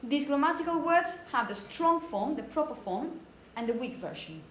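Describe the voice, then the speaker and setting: Higher-voiced woman teaching in an echoey room. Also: lively intonation, normal speed. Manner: explanatory, demonstrates words with emphasis, frequent check-in questions. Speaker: phonetics teacher. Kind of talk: classroom lecture to students. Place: classroom, indoors. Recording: echoey room, background noise.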